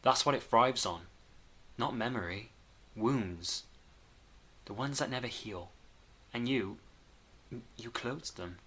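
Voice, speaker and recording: A man playing a guarded, steady time-travelling investigator in an audio drama, speaking in a terse, sober way. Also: mid-range voice, takes it slowly, pauses often, slightly rough. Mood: sober, reassuring.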